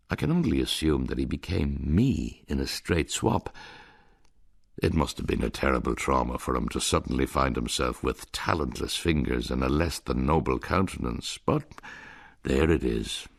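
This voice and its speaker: A man's voice: extremely low pitch, creaky, no room sound. A man narrating in the first person as a piper in a radio drama, in a wry, dry storytelling manner.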